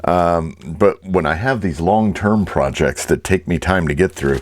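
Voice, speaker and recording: A man's voice: low-pitched, a little slow. A man giving a casual, rambling and easygoing vlog talk as an online reseller.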